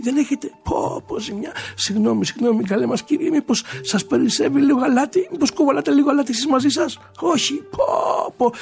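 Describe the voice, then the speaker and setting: A man's voice: very high-pitched, gravelly, a little mellow, pitched above the performer's own voice. A man voicing a flustered, polite sailor in a folk tale, apologetic and pleading. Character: flustered polite sailor begging passengers for salt.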